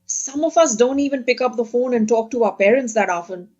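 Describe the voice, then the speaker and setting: A woman speaking as a podcast guest in a casual interview, earnest and quick to laugh. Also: fairly high pitch, a little mellow, dull audio.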